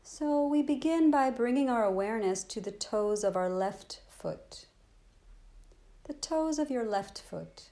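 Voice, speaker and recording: Gentle woman guiding a meditation. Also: fairly high pitch, expressive. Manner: gentle, soothing, unhurried with long pauses. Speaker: meditation teacher. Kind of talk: guided body scan meditation.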